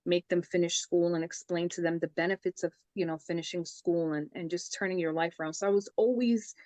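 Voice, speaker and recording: A woman's voice: on the low side, steady tone, fairly quick, warm tone, no echo. A young woman from the Bronx with a New York accent, a psychic medium talking earnestly about her life in a casual interview. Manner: earnest, storytelling, says "I says" for past talk.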